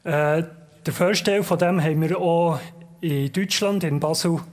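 Man, fairly high voice, live church interpreter. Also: darkish tone. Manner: quick rendering, occasional restarts.

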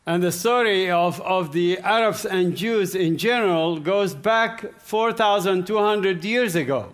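A man from the Gaza Strip giving a church testimony in short phrases, pausing for an interpreter. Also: sits high, a bit bright. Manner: speaks in short phrases with pauses for translation. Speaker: guest speaker. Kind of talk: testimony talk with live interpreter.

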